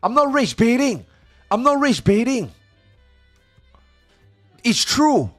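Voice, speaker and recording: A man streaming live who chats casually, swears freely and reacts loudly to his viewers, and he says he is losing his voice. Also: extremely high pitch, sing-song, slow pace, faint music behind.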